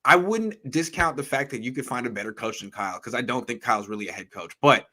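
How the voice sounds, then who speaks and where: A man hosting a sports call-in show, chatting casually in a playful, animated way and often cutting in with quick replies. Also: mid-range voice. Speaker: host.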